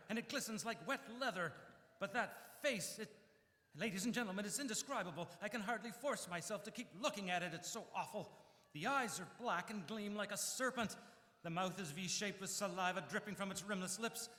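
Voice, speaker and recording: Man acting a high-pitched, excitable radio reporter. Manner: breathless, stammering, dramatic. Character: excitable male radio news reporter, horrified eyewitness at disaster. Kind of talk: live radio drama acting, 1930s news bulletin manner. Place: live stage performance in a church. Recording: reverberant church hall.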